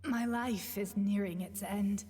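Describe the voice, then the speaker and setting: Breathy, echoing dying old woman weaver. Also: mid-range voice, fairly even intonation, a little slow, warm tone. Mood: serene, solemn. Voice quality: breathy, husky. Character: dying elderly woman weaver, gentle, serene and wise. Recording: long echoing reverb on the voice.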